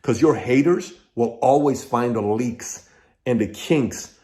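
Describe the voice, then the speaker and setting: A man's voice: mid-range voice, takes it slowly, warm tone. A middle-aged man from Iran hosting his own channel, talking straight to his viewers in an emphatic way, working through his points as lists and often restarting. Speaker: channel host. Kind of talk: direct-to-camera commentary.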